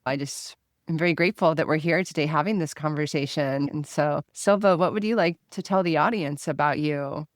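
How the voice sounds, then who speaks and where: Deliberate woman host, dry close sound. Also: low voice, dry recording. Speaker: podcast host. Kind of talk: conversational interview hosting.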